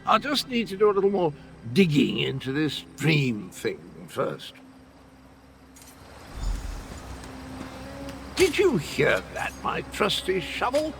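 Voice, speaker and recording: Wildly animated, high-voiced eccentric old British knight. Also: male voice, a little slow, gravelly, a little hiss or hum, exaggerated voice. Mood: eager, cheerful. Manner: theatrical, whimsical, rambling with archaic genteel slang. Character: eccentric, befuddled, cheerful old gallant knight. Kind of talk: video game character dialogue.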